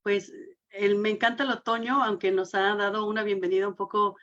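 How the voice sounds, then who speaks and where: A woman hosts a community radio interview program. Her voice sits at a middling pitch. The recording is slightly muffled and lo-fi. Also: fairly even intonation, a little fast, a bit bright. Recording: dull, band-limited sound.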